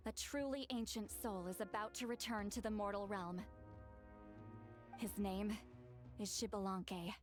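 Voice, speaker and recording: An actress voicing a proud, fussy old shaman woman in a game, in a measured, slightly dramatic way, with game music behind. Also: medium pitch, steady tone, close to an everyday voice. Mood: solemn.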